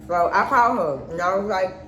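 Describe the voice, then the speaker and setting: A woman's voice: medium pitch, normal speed, a bit bright. A middle-aged woman telling a personal story casually and candidly, acting out remembered conversations as she goes. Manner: candid, acts out remembered dialogue. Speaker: mother co-hosting a storytime vlog with her daughter. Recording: roomy echo, faint background noise.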